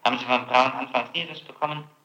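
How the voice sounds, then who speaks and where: In an echoey room on an old tape, a man with a bright, forceful voice conducts a formal interrogation. He talks fast and presses persistently for exact answers, like a prosecutor. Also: medium pitch, steady tone, dull audio. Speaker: prosecutor questioning a suspect.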